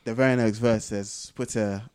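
A man's voice: fairly low pitch, steady tone, moderate pace, darkish tone, smooth. A man co-hosting a casual podcast, explaining his thoughts reflectively and correcting himself as he goes. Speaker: podcast co-host. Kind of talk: casual conversational podcast chat.

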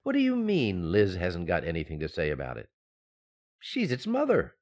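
An actor playing a naive, excitable expectant husband in an old-time radio sitcom, earnest and flustered. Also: male voice, sits low, normal speed, heavily acted. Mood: indignant.

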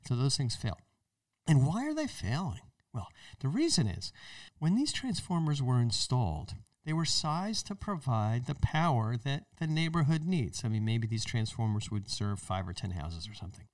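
Animated American man, slightly off-mic. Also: mid-range voice, moderate pace, no room sound, recorded from a distance. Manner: emphatic, conversational, acts out imagined remarks. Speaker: podcast host. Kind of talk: explanatory radio-style talk.

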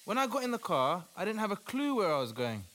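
A man's voice: high-pitched, big pitch swings, fast talker, fairly bright tone. An actor playing an earnest, devout male doctor who takes charge in an audio play. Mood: earnest, amazed. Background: music.

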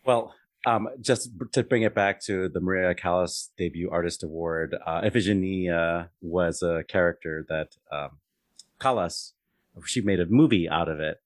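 A deep-voiced man hosts an interview show about opera. He asks long, winding questions padded with uh and um, in a dry, close sound. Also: moderate pace, dry recording. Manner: chatty, rambling questions with many fillers. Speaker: podcast host. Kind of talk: conversational interview.

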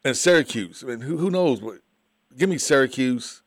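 A man's voice: medium pitch, animated, moderate pace, no room sound, clean studio sound. A man on a sports betting panel, blunt and teasing, laughing through his jokes. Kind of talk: casual sports talk.

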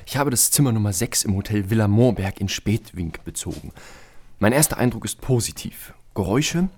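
A man's voice: fairly low pitch, animated, a little fast, a little mellow, slight background noise, natural voice. A man playing an earnest, pedantic ornithologist in a German audio drama, with precise, clipped, observational phrasing. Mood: methodical, observant. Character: earnest, pedantic male ornithologist and owl enthusiast.

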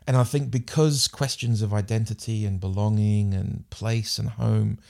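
A man's voice: fairly low pitch, fairly even intonation, moderate pace, vocal fry, a little mellow. A man with a New Zealand accent, a podcast guest talking thoughtfully in casual conversation, often restarting and repeating words. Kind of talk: casual interview chat.

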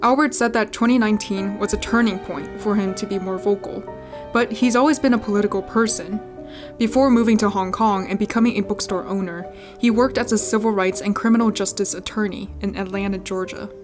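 A woman's voice: mid-range voice, steady tone, fairly quick, music in the background. A young adult woman from California with an American accent, reading scripted narration as a podcast host. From California, United States.

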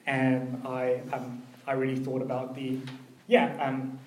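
A young man giving a conversational sermon, earnest and personal, with a gently hesitant delivery. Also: medium pitch, completely flat delivery, slow, echoey room, a little hiss or hum, poor audio. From New Zealand and Malaysia. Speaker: preacher.

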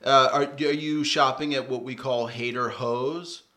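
A man's voice: mid-range voice, fairly even intonation, measured pace, pushed and bright. A man co-hosting a casual podcast chat. He speaks in an easy, dry tone and throws in mock-serious jokes. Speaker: podcast co-host.

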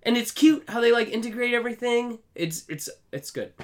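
A man's voice: very high voice, a little fast. A man co-hosting a podcast in casual chat, hedging as he goes with plenty of "like" and "I mean". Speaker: podcast co-host.

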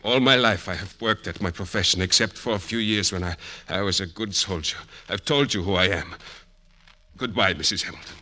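A stricken, secretive chemist. The voice is deep yet sharply forceful in tone, and he speaks haltingly with grave restraint, in the style of an old radio drama. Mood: evasive, firm. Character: stricken, gentle middle-aged Austrian chemist, secretive former soldier. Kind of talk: golden age radio drama acting.

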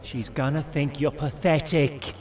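A man's voice: a little high, steady tone, unhurried, a little mellow, recorded from a distance, soft background music, close to an everyday voice. An actor playing a man's mischievous, mouthy inner voice in an audio drama, blunt and interjecting. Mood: scornful. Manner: blunt, mocking, interjecting asides. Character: mischievous, mouthy inner voice of a nervous man on a date.

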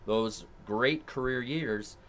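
Bright-voiced guy chatting hockey. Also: mid-range voice, takes it slowly, a little hiss or hum. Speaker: podcast co-host. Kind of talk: casual hockey chat.

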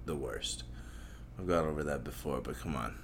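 Deep-voiced, crude rambling podcast man. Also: normal speed, vocal fry, a bit bright. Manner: crude, profane, self-mocking, meandering. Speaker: solo podcast host. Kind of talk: rambling solo podcast monologue. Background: faint noise from outside.

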